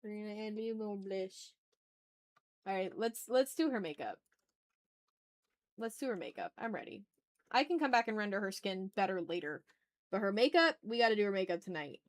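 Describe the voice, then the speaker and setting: A woman's voice: medium pitch, expressive, normal speed, pauses often, no echo. A woman livestreaming as she draws, chatting casually in slang and muttering to herself with playfully dramatic, exasperated asides. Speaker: art livestreamer, host.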